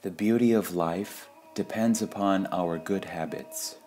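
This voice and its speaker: A man reads short spiritual sayings in a measured, deliberate devotional style, pausing between phrases. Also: deep voice, a little mellow. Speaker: reader of spiritual quotations. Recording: music under the voice. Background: background music.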